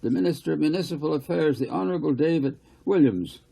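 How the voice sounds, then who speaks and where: A man's voice: on the high side, fast talker, creaky, mellow, husky, pitched above the performer's own voice, rough recording. A man voicing a courteous town mayor making a formal announcement to a crowd in a storybook reading. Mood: formal announcing.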